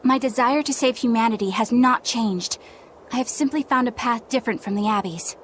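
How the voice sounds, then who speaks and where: A woman's voice: fairly high pitch, a little fast, a little hiss or hum, natural voice. An actress playing an earnest young woman exorcist who speaks in a composed, sincere way. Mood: resolute. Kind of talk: game voice acting.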